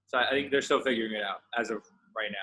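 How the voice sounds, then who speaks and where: A man co-hosting a casual sports interview, halting and repeating words as he frames his questions. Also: on the low side, pushed and bright, a little hiss or hum. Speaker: podcast co-host, interviewer.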